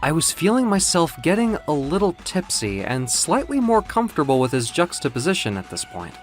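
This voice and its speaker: Guy telling a dark story in first person, really dramatic, swoops around, bit of music underneath. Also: fairly high pitch, a little fast. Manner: theatrical, expressive, confiding. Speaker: narrator. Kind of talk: dramatic first-person audio fiction narration.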